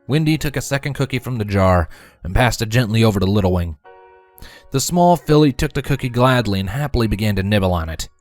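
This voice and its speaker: Lively male storybook narrator. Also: lowish voice, dry recording. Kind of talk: expressive scripted story narration. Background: music.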